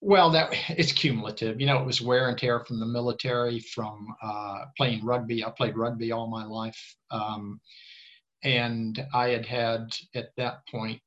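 A man's voice: medium pitch, fairly even intonation, measured pace, a bit bright. An older man giving an interview in a casual, rambling way, often restarting his words. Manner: rambling, repeats words at starts, frank. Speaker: interview guest. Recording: slightly dull lo-fi sound.

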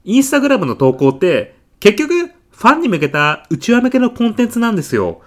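Comedy audio drama: man acting as a polite, self-important dad, earnest and chatty, with swooping, animated delivery. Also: high voice, normal speed. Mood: earnest, proud. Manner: polite, talkative, matter-of-factly proud. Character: earnest, self-important stay-at-home-working dad, celebrity gossip writer.

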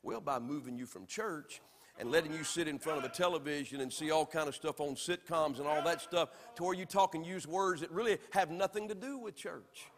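A Southern man from Alabama preaching in a church. He has a high voice, and the long reverb of the sanctuary hangs after his words. He swings from loud, emphatic lines to soft, quiet ones. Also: a little fast. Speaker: pastor. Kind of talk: sermon.

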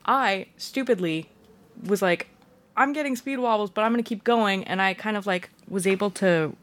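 A woman's voice: mid-range voice, moderate pace, a bit bright. Crude, laughing woman podcaster. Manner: crude, swears freely, laughs while telling stories. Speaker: podcast co-host. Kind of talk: casual podcast chat.

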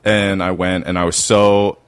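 Deep-voiced man with a Maryland accent. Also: a little slow, a little hiss or hum. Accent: Baltimore, Maryland. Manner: rambling, animated, full of "like". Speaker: comedy writer guest. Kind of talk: casual storytelling.

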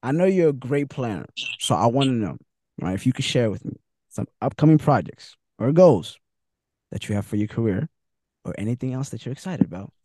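A man's voice: mid-range voice, sing-song, fairly quick, dark tone, no echo. A man hosting a podcast, laid-back and slangy, laughing easily as he chats with his guest. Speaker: podcast host. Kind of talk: casual interview chat.

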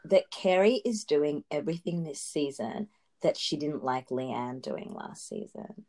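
A woman's voice: low-pitched, animated, unhurried, darkish tone. A woman co-hosting a podcast, chatting about reality TV in long explanatory turns and laughing often. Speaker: podcast co-host. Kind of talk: casual chat recapping reality TV.

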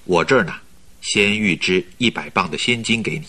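An actor in a Mandarin radio drama playing a polite, flattering company recruiter who talks in a measured, persuasive way. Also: male voice, low-pitched, slightly rough, full character voice. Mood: coaxing. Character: smooth-talking male company recruiter, flattering, persuasive schemer.

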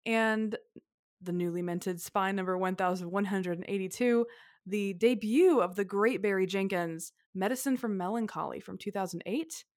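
A woman co-hosting a relaxed film podcast, talking in a chatty way with frequent laughs and self-corrections. Her voice sits at a middle pitch. The sound is dry and close, with no room around it. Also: normal speed, no echo. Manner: chatty, laughs often, trails off and restarts. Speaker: podcast co-host. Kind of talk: casual film podcast chat.

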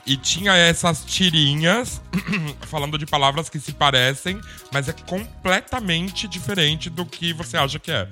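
A Brazilian man with a Brazilian Portuguese accent and a somewhat high voice chats with a lively, emphatic delivery. He tells his stories with animation. Faint music runs in the background. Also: unhurried. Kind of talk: casual chat.

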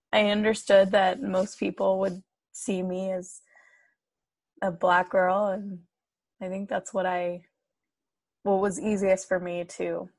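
A young woman co-hosting a podcast, chatting casually and asking slow, measured questions. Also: mid-range voice, gravelly, a little mellow, slightly rough, no room sound. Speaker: podcast co-host. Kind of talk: casual chat.

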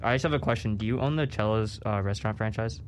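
A man's voice: fairly low pitch, fast talker. An eighteen-year-old man from Rhode Island chatting casually and jokingly as co-host. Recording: faint background noise, room ambience.

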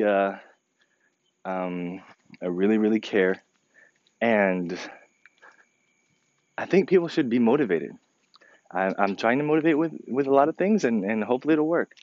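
A man running his company, speaking informally to his staff in a podcast, with a slightly crackly voice and a halting, earnest delivery full of fillers and restarts. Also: fairly low pitch, expressive, no echo, dull audio. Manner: earnest, repeats himself to stress points. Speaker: company president addressing staff. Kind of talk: informal podcast address to employees.

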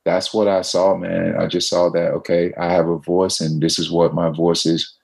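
A man with a deep, loud voice, speaking earnestly and reflectively as a guest in a casual conversation. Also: flat delivery, normal speed, gravelly. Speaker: guest, running group organizer.

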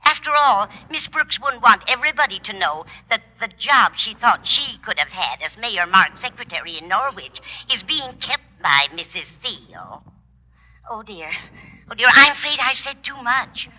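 Fussing, bright-voiced older landlady. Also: a little high, lively intonation, moderate pace, a little hiss or hum, poor audio. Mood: flustered, confiding. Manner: apologetic, motherly. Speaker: supporting actress in a radio sitcom. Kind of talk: old-time radio comedy acting. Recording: vintage radio comedy recording.

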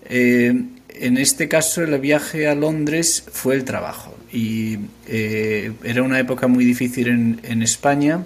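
A man in his forties with an Asturian accent from Spain, telling his story as an interview guest. His voice is slightly husky and sits at an ordinary middle pitch. He talks in a loose, hesitant way, with many fillers and restarts. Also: a little slow. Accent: Spanish, Asturian. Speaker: company founder and guest. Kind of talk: casual interview conversation.

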